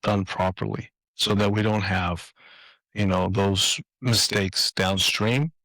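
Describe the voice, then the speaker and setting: Low-voiced man from Greece, guest. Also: little pitch movement, takes it slowly, vocal fry, fairly bright tone, dry recording, poor audio. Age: 50s. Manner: reflective, with restarts and fillers. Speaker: guest, design leader. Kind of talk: interview answers. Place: apartment.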